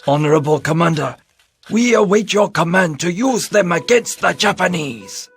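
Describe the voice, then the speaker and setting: An actor playing a proud, determined Korean military officer in a video game, with formal, declarative speech. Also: male voice, a little high, lively intonation, normal speed, gravelly, breathy edge, faint music behind, heavily acted. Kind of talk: dramatic video game cutscene acting.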